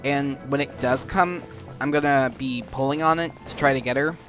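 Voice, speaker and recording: A man giving casual commentary on a game playthrough, halting now and then as he thinks aloud. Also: medium pitch, steady tone, a bit bright. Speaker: gaming video host. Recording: game music behind the voice.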